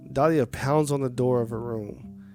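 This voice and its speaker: A man's voice: medium pitch, normal speed, music in the background. A man reading a game's story aloud on a live stream, with casual, chatty asides to viewers. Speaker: game streamer.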